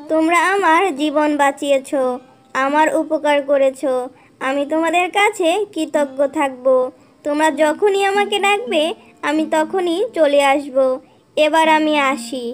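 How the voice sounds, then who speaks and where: Woman as a very high-pitched cartoon fairy. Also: measured pace, roomy sound, far from the mic, faint music behind. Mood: grateful, kind. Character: kind, grateful, defiant young female fairy. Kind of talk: children's cartoon voice acting.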